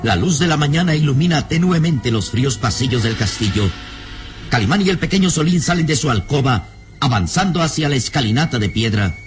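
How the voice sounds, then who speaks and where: A man's voice: medium pitch, animated, fairly quick, natural voice. Man as solemn radio serial narrator over music. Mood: suspenseful. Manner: solemn, suspenseful. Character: grave male narrator of gothic adventure. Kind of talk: dramatic scripted radio narration.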